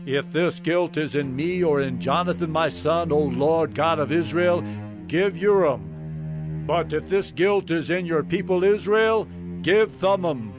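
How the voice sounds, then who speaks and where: A male actor as a commanding ancient king in a Bible audio drama. His voice sits fairly high and he declaims with solemn emphasis. Music plays clearly behind him. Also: unhurried, close to an everyday voice. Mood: solemn pleading. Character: stern, commanding warrior king of ancient Israel.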